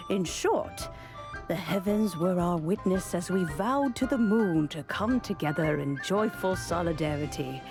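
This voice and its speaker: Gracious, lowish-voiced wise mentor. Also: female voice. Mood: reflective. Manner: measured, gentle, storytelling, formal wording. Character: gracious, wise, long-lived female mentor with fond, nostalgic temper. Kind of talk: video game character acting. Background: music.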